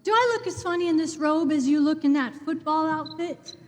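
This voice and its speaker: An actress playing a poised, playful eighteen-year-old small-town sweetheart in a radio play, coy and teasing. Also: female voice, sits high, fairly quick, a little hiss or hum, close to an everyday voice. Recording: echoey hall, faint music bed.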